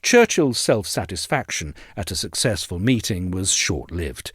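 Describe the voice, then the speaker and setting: Low-voiced male narrator with expressive intonation. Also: measured pace, slightly rough, dry recording, studio quality. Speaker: narrator reading an essay aloud. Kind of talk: scripted narration of a history essay.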